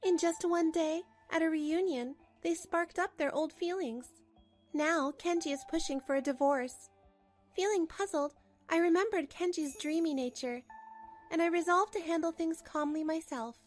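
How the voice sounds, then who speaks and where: Wronged wife, firm and pragmatic, played by a woman with a high voice, expressive manga-dub narration over faint music. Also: normal speed. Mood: hurt, weary. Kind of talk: dramatic first-person narration for a manga dub.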